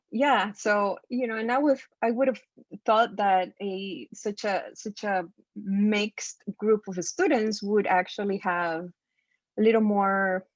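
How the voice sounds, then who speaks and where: A woman from Costa Rica with a Spanish accent, a design professor speaking thoughtfully and haltingly on a video call panel. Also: medium pitch, no echo. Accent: Costa Rican Spanish. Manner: thoughtful, halting, many fillers and restarts. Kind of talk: roundtable discussion. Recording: dull lo-fi video call audio.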